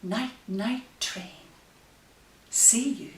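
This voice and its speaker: A woman reading picture books aloud to young children, gentle and expressive, with drawn-out phrases and hushed asides. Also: fairly high pitch. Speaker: story time host. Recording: roomy, distant mic with faint hiss.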